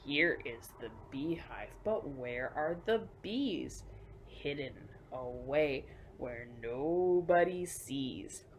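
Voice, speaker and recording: A woman hosting a children's storytime, reading picture books aloud with emphatic delivery and hushed asides. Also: extremely low pitch, animated, slow, a little hiss or hum. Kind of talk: picture book read-aloud for young children.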